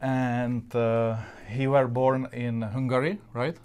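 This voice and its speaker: Halting male interviewer with flat intonation. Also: medium pitch, clean tone. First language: not English. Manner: halting, with non-native grammar. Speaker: interviewer and host. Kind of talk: interview with greetings to an audience.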